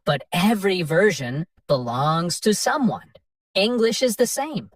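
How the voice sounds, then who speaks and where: A woman narrating a scripted English lesson for learners in simple, clearly spaced sentences. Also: fairly low pitch, very animated, a little slow, no room sound, distant mic. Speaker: English teacher.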